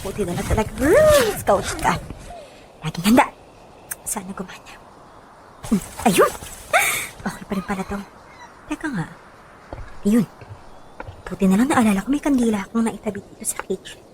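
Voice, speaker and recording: A woman's voice: medium pitch, sing-song, moderate pace, pauses often, background noise, close to an everyday voice. An actress playing a nervous, chatty young woman doctor in a radio drama, exclaiming and talking to herself. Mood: uneasy, searching. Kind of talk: Filipino radio drama acting.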